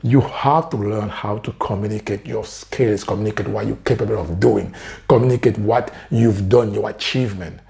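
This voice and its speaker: Man, French accent, French native speaker, low gravelly voice, earnest career-coaching talk. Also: moderate pace, darkish tone. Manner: earnest, keeps asking "right?". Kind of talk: direct-to-camera advice talk.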